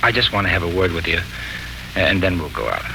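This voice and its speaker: Earnest, bright-voiced lovestruck radio suitor. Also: male voice, low-pitched, big pitch swings, fairly quick, vocal fry, full character voice. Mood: anxious, hopeful. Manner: florid, hesitant, earnest. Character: hearty, anxious, lovestruck male suitor. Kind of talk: 1940s radio serial acting. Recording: noisy, bright 1940s radio recording.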